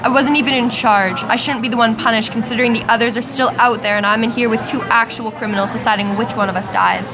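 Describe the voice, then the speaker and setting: Woman acting a self-justifying criminal mother, highish. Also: fairly even intonation, quick pace, few pauses, natural voice. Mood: indignant. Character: self-justifying mother, a jailed female trafficker. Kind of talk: amateur radio play acting.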